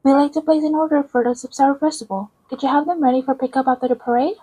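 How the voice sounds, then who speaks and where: High-voiced woman, amateur game voice acting. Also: steady tone, fast talker, warm tone. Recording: amateur recording with music behind.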